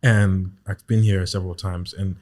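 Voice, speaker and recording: A man's voice: low voice, little pitch movement, a little mellow. A man from Ghana, a voice artist, talking reflectively as a guest in a casual podcast chat. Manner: reflective, measured.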